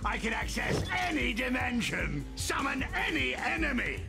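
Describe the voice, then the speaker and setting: Blustering mad scientist villain, gravelly, huge pitch swings, music loud behind. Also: male voice, sing-song, normal speed, full character voice. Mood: gloating. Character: scheming bald mad scientist villain, middle-aged man.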